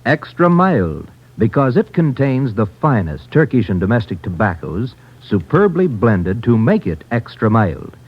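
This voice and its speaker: Lively, husky 1950s commercial announcer, a man. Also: a little slow, few pauses. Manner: emphatic sales pitch. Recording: dull lo-fi old radio recording.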